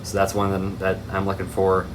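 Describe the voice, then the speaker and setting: A man's voice: low voice, completely flat delivery, a little fast, background noise. A man giving casual, rambling hobby commentary as the host of an unboxing video. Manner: rambling, tangent-prone.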